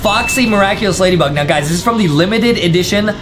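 Animated young American man with lively pitch. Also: fast talker. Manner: animated, exclaiming, repeats phrases. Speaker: host. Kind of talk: excitable reaction commentary for children. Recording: music behind the voice.

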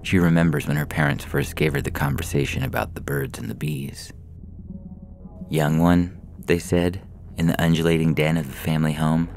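Male story narrator, rumbling bottom-register pitch, creaky texture, scripted delivery. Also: moderate pace, darkish tone. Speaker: podcast story reader. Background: music.

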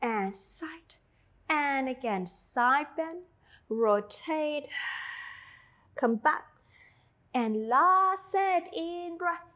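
Slow, high-voiced woman with Australian-tinged accent. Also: expressive, sharp tone. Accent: Australian-tinged English. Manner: slow, soothing cues with chatty asides. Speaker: Pilates instructor. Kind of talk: guided exercise instruction.

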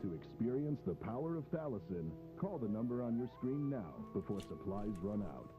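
A man's voice: fairly low pitch, big pitch swings, moderate pace. A man playing a formal, clinical announcer narrating an eerie drug infomercial. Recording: dull lo-fi tape with music behind.

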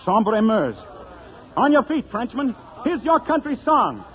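An actor playing a brash, teasing young baritone carousing in a cafe, declaiming in 1940s radio style. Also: male voice, big pitch swings, a little slow, exaggerated voice. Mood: playful, commanding. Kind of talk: 1940s radio drama acting. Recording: dull vintage radio recording, loud music.

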